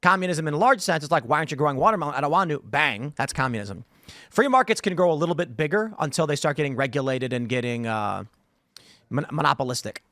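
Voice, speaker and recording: Higher-voiced man hosting a podcast. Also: lively intonation, fast talker, pushed and bright, no echo, clean studio sound. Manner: opinionated, acts out imagined dialogue. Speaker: podcast host. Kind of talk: casual political panel talk.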